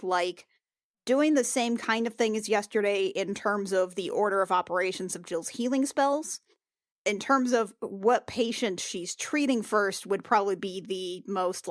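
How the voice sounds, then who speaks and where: A woman's voice: medium pitch, no echo, studio quality. A woman playing a tabletop game, talking through her character's plans with lots of hesitation. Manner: hesitant, many fillers while planning. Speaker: player.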